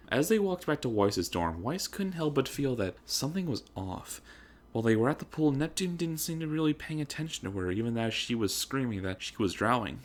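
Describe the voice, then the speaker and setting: A man narrating a comedic dramatic reading in a theatrical, emphatic, mock-serious manner. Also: medium pitch.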